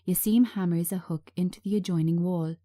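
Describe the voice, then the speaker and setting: A woman narrating a screenplay in a measured, scripted reading style. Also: on the low side, steady tone, warm tone. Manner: measured, present-tense scene reading.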